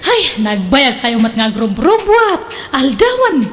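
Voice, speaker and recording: A woman's voice: high-pitched, big pitch swings, slow pace, fairly bright tone, full character voice. A woman playing a fretful, easily led young woman in a comedic radio drama, speaking with a Filipino accent. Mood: mildly complaining.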